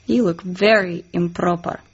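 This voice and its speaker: A woman's voice: lowish voice, steady tone, a little slow, slightly rough. An actress playing a coy, demure young Russian woman spy in a radio drama. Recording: dull, muffled sound.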